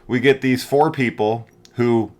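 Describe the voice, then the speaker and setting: A man's voice: mid-range voice, a little slow. A middle-aged man hosting a casual film review, rambling and opinionated, with emphatic points.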